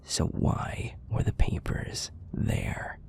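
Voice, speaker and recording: A man playing a bitter, menacing werewolf pack leader in an audio roleplay, profane and commanding in a breathy growl. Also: very slow, darkish tone, in a whisper. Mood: weary, cold contempt. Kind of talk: audio roleplay drama acting.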